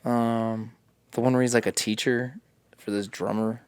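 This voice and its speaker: A man's voice: mid-range voice, flat delivery, a little slow, darkish tone, smooth, dry recording. A man hosting a podcast, joking through casual, profane banter. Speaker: podcast host. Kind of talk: casual chat. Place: podcast studio.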